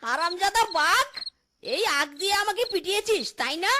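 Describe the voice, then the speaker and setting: Woman voicing a shrill, sly cartoon fox. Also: lively intonation, normal speed, heavy background noise. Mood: vengeful, whining. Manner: whiny, cheeky, exclaiming. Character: sly, mischievous, quarrelsome thieving male fox, a husband. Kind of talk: animated cartoon dialogue.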